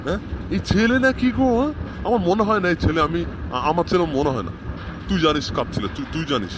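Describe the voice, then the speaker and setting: A man's voice: a little high, sing-song, fast talker, noisy background. A man voicing an irate, blustering, grumbling village father in a comedy cartoon. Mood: furious.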